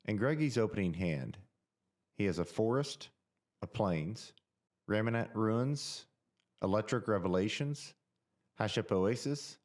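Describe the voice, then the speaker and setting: A man with a very low voice narrates in a scripted, measured way. He reads rules text and card lists evenly, without rushing. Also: a little mellow. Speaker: voice-over narrator of deck introductions.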